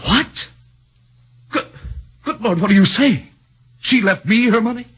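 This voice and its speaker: A man's voice: high-pitched, animated, measured pace, a bit bright, husky, lo-fi sound, exaggerated voice. An actor in his thirties in a 1940s radio drama plays a young man accused of murder, earnest and flustered, often stammering. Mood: agitated. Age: 30s.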